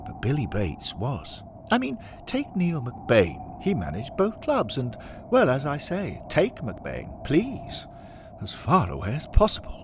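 A man's voice: medium pitch, wildly swooping pitch, slow pace, noisy background, voice pushed up. A man voicing a plain-spoken, sincere and loyal former footballer in an audio story. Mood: earnest.

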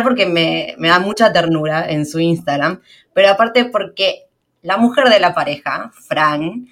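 A young woman with an Argentine Spanish accent, hosting a podcast in fast, slangy, chatty talk. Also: fairly low pitch. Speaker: podcast host. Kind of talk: casual podcast chat.

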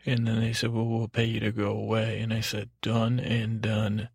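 A man's voice: lowish voice, completely flat delivery, normal speed, gravelly, a little mellow, husky, dry recording, studio quality. A man hosting a sleep podcast, rambling in a soothing, lulling tone with a hesitant, digressive, self-deprecating manner. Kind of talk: rambling, meandering bedtime monologue.

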